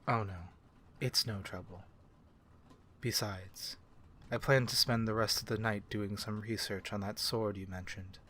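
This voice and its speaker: A man voicing a calm, courteous vampire in a soft-spoken ASMR fantasy roleplay, with measured, formal and gently reassuring speech. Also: on the low side, slight background noise. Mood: gentle, caring. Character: calm, courteous male vampire ally to humans.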